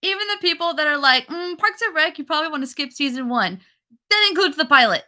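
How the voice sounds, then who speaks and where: A woman panelist gives her opinions in casual, bantering talk. Her voice sits high and has a very bright, forceful edge. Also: animated, fast talker. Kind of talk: casual chat.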